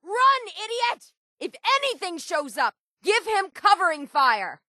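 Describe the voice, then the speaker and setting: An actress playing a weathered, stubborn female sailor in a video game, with a gruff, plainspoken old-salt way of talking. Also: extremely high pitch, very animated, unhurried, pushed and bright, dry recording, clean studio sound, full character voice. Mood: urgent alarm. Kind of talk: video game character voice acting.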